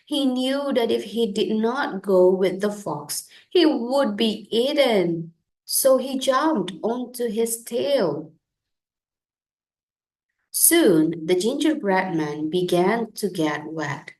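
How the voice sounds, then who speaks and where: Woman reading a kids story out loud, really slow and super lively pitch. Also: poor audio. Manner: deliberate, expressive delivery for children. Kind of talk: children's picture book read-aloud.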